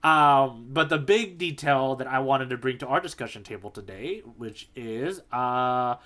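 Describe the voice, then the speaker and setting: A man's voice: mid-range voice, measured pace, bright, forceful tone. A man co-hosting a podcast in casual conversation, talking through a story and acting out bits of it as he goes. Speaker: podcast co-host. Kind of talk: casual chat, manga discussion.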